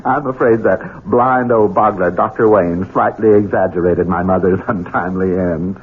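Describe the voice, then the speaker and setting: An actor playing a cold, scheming middle-aged master of a country estate, sardonic and domineering, in 1970s radio drama style. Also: male voice, low-pitched, normal speed, lightly acted. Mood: smug, sardonic. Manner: sardonic, domineering, softly menacing. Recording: dull, noisy 1970s radio broadcast.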